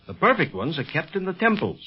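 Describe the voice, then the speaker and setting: An actor playing a dignified, courteous doctor and diplomat with a formal manner in a 1930s radio serial. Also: male voice, a little high, big pitch swings, brisk. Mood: proud. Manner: formal, gracious. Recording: muffled 1930s radio recording.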